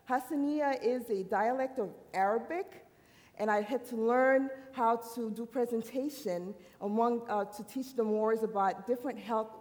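Woman from haiti telling her faith story, earnest, stops and restarts a lot, highish voice, long echo. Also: a little mellow. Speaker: worship speaker. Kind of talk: personal testimony. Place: university worship hall. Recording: long hall reverb.

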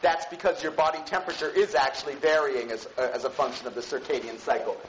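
A man giving an informal, conversational university lecture, explaining things in a halting, rambling way with frequent false starts and self-corrections. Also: medium pitch, steady tone. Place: lecture room. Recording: echoey lecture room with background noise.

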